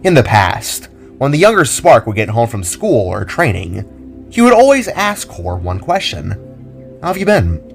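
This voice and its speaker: A man's voice: mid-range voice, wildly swooping pitch, moderate pace, creaky, faint music behind. A man reading scripted narration for an audio drama.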